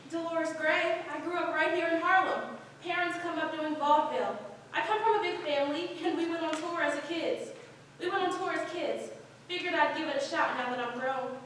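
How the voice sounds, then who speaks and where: Young aspiring performer played by a woman, 1940s stage play, extremely high voice, questioning, reverberant with faint noise. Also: brisk, a bit bright. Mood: eager, earnest. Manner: questioning, earnest. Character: eager, anxious young Harlem aspiring actress, 1940s. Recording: very echoey room, distant mic, faint noise.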